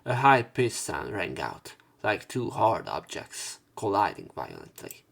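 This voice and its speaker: Low-voiced man narrating a visual novel. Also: wildly swooping pitch, a little slow, creaky, fairly bright tone. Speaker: let's play narrator. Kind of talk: dramatic read-aloud narration with casual commentary. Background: game music.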